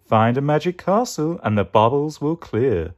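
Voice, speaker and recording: A man's voice: mid-range voice, big pitch swings, moderate pace, darkish tone, pitched above the performer's own voice. A man voicing a laid-back, mystical hippie mango in a children's storybook, full of drawling hippie slang. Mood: relaxed, advising.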